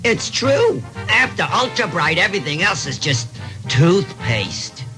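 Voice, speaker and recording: An actor playing an excitable, girl-struck elevator operator in a comic 1960s radio commercial. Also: sits high, a little slow, over music. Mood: excited discovery. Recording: old AM radio aircheck.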